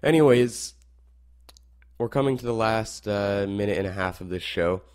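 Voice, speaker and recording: A teenage boy hosting a rock radio show, slightly hoarse, wrapping up with rambling asides and many fillers. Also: lowish voice, moderate pace, a little mellow. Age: teens. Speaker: radio host. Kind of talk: casual show wrap-up.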